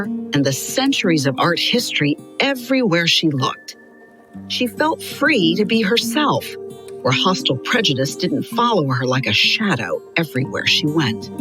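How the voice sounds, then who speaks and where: A woman reading a scripted story for children in a measured, expressive storyteller's delivery. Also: low voice. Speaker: podcast narrator. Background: music under the voice.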